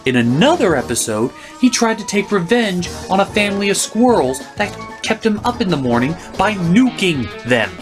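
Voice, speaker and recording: A man's voice: high-pitched, sing-song, normal speed, hardly any pauses, music in the background. A man hosting a scripted comedic review, ranting in a theatrical, emphatic manner. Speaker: host and reviewer.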